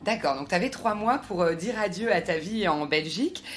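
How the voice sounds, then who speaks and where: A woman's voice: lowish voice, expressive, normal speed, slight background noise. A French-speaking woman hosting a podcast, reading a scripted intro and then chatting eagerly with her guest. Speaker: podcast host.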